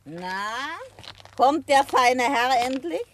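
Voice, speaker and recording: Eine Schauspielerin spielt in einem Krimihörspiel eine dreiste, ordinäre Erpresserin, die höhnisch stichelt und keift. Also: female voice, high voice, lively intonation, takes it slowly, a little hiss or hum. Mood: sneering, mocking. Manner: sneering, taunting. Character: brazen, mocking female blackmailer, coarse and shrill-tempered. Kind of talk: German radio crime drama acting.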